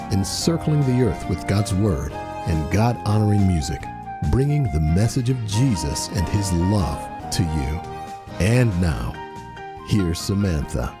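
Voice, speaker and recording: A man with a low voice and lively intonation reads a formal, measured program introduction. Instrumental music plays clearly beneath him. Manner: announcer delivery, formal and measured. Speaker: program announcer. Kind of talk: scripted radio introduction.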